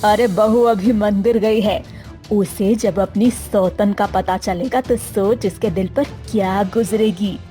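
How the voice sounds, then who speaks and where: Lady doing an older indian-drama mom scolding, slow, dark mellow voice, cartoon story. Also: medium pitch, fairly even intonation, faint music behind, pitched below the performer's own voice, lightly acted. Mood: indignant. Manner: scolding, reproachful. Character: indignant older mother-in-law scolding her son. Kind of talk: Hindi cartoon story acting.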